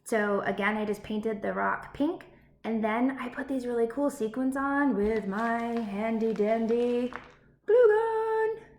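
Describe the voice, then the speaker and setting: A woman's voice: fairly high pitch, animated, slow pace, a little mellow. A woman speaking as an elementary school teacher to young pupils, encouraging and child-directed, checking in with little questions as she reads aloud and chats. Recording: roomy echo, faint background noise.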